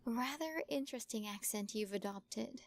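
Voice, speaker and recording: Woman doing a possessive witch, teasing and sweet, kinda high voice, lots of soft whispery bits. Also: normal speed, close to an everyday voice. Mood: sweetly menacing. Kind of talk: audio roleplay with whispering.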